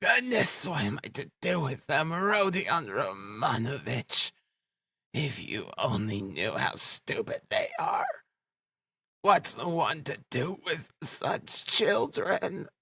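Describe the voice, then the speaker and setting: A man voicing a frantic, proud, sickly mother in an audiobook, shouting, pleading and scolding in a shrill voice. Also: takes it slowly, creaky, no echo, heavily acted. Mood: exasperated, scolding. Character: frantic proud consumptive mother, ragged and hysterical.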